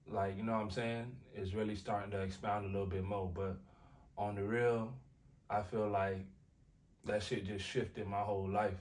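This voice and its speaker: A man's voice: on the low side, far from the mic. A young American man, a rapper, talking casually in an interview, laid-back and slangy with lots of filler phrases. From United States. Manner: laid-back, slangy, many "like" and "you feel what I'm saying".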